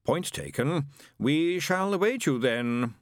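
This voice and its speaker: A man's voice: on the high side, expressive, slow pace, no echo, studio quality, voice pushed up. A man voicing an imperious heavenly spokesman with a stately, formal manner in a storybook reading. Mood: cool, testy. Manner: stately, formal, coolly insistent.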